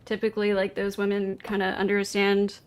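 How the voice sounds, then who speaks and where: A woman's voice: mid-range voice, completely flat delivery, fairly quick, clear, resonant tone. A woman in her thirties on a debate panel, hesitant and full of "like" fillers. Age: 30s. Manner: hesitant, filler-heavy, says "like" often. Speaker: panel guest. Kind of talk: casual debate.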